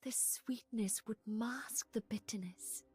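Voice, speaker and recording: A woman voicing a gentle, refined young scholar and assistant in a game, soft-spoken and breathy, with measured, formal phrasing. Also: fairly high pitch, exaggerated voice. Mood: nostalgic. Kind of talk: scripted game character voice lines, close to ASMR.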